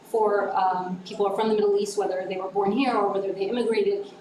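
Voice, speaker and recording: Fast, flat-voiced woman in an echoey room. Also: medium pitch. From Canada. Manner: flat, quick, thinking aloud with many ums. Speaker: audience member. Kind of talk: audience comment at a symposium. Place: university symposium room. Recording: echoey room with clear noise.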